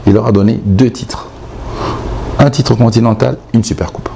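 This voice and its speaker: A man's voice: on the low side, fairly even intonation, moderate pace, gravelly, background noise. A man with a Congolese French accent giving outspoken, emphatic opinions in a sports interview, often repeating points for stress. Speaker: sports commentator being interviewed.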